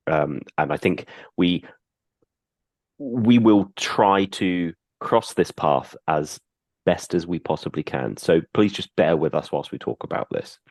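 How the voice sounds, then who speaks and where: A man's voice: low-pitched, measured pace, darkish tone, no room sound. A man co-hosting a casual podcast, congested with a cold, easygoing and apologetic. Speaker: podcast co-host.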